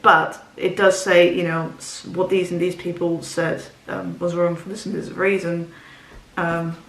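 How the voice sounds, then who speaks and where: Young woman, rambling book chat, lots of ums, lowish voice, roomy echo. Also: flat delivery, measured pace. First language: Dutch. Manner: rambling, frequent ums and restarts. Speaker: book vlogger. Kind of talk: casual book talk to camera. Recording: roomy echo, faint ambience.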